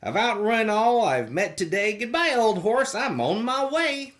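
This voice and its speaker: Reader doing a cheeky little gingerbread man, bragging and teasing, sing-song, up and down pitch. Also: big pitch swings, measured pace, a bit bright. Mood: boastful, taunting. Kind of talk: storybook character voice.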